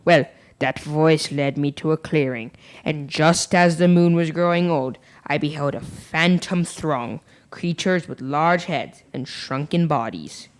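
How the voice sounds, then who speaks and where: A woman's voice: low-pitched, measured pace. A young female performer playing a pompous, superstitious schoolmaster telling ghost tales theatrically in a radio play. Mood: eerie, grandiose. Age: young teen. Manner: ornate, theatrical storytelling. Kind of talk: stage radio play acting.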